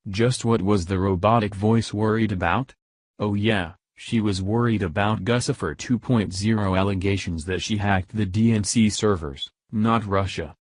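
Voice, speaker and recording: A man's voice: lowish voice, a little mellow, dry recording. A man reading scripted political news as a voice-over narrator.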